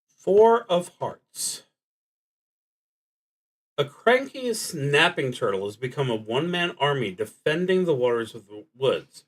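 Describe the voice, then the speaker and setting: A man streaming a solo tabletop roleplaying game, thinking aloud in a halting, deliberate way with pauses mid-thought. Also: fairly high pitch, big pitch swings, low-quality recording.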